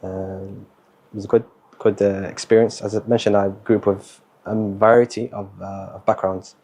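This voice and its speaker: A young man, talking as a guest in a casual interview, relaxed and hesitant, with many fillers and Arabic words mixed in. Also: lowish voice, moderate pace, breathy edge. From Somali, born in the Netherlands, raised in England. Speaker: guest, university student.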